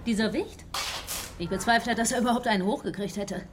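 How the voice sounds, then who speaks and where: A woman's voice: fairly high pitch, a little fast, background noise, close to an everyday voice. An actress playing a brash, foul-mouthed, tough young woman survivor in a German radio drama, with a sarcastic, combative way of talking. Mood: scornful.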